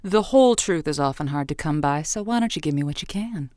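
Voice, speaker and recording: A woman's voice: deep voice, lively intonation, brisk, darkish tone, no room sound, studio quality. A woman playing a radio advice show host who hedges, stammers and waffles over what to tell her callers. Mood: waffling. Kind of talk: improvised comedy call-in show.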